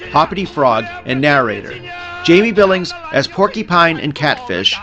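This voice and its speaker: Man, podcast announcer, scripted credits read, slightly high voice, faint music behind. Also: sing-song, moderate pace.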